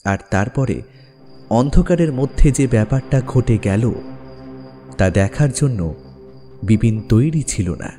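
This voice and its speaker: A man's voice: medium pitch, expressive, a little slow, long pauses, mellow. A man narrating a scripted ghost story in a dramatic, suspenseful manner. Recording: long reverb over background music. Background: music.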